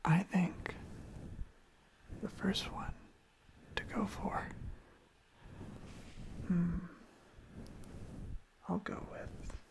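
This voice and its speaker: A person whispering a gentle, soothing ASMR ramble in a breathy voice with some fry, softly repeating phrases close to the microphone. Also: mid-range voice, little pitch movement, extremely slow, long pauses. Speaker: ASMR video creator. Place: own room.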